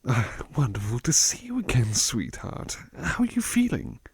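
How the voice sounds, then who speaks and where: A man playing a gentle, doting, courteous butler in a softly spoken ASMR roleplay, with tender, polite and somewhat hesitant delivery. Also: medium pitch, wildly swooping pitch, moderate pace, vocal fry, slightly rough, no echo, full character voice. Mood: warm, courteous.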